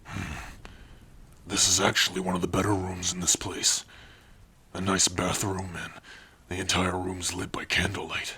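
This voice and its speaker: A man playing a big, bashful orc in love, speaking haltingly and intimately in an ASMR fantasy roleplay. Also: low voice, monotone, crowd noise, lightly acted. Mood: shy, gentle. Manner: hesitant, halting, soft-spoken, intimate.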